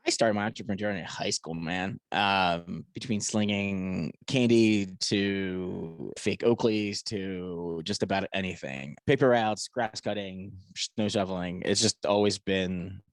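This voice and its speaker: Low-voiced man with a Philadelphia accent. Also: measured pace, few pauses, no room sound. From Poland. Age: 40s. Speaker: podcast guest, e-commerce entrepreneur. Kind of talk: casual chat.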